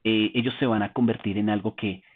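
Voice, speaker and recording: Hombre predicador de voz ronca. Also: male voice, lowish voice, brisk, husky. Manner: calm, explanatory, asks rhetorical questions. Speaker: preacher. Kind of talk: teaching sermon. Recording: tinny phone-like sound.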